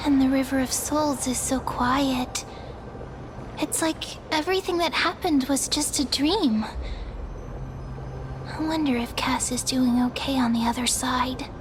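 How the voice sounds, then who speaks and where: A woman's voice: sits high, a little slow, background noise. A woman voicing a small, cheerful but easily startled young girl in a video game, given to nervous stammers. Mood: wistful, self-cheering.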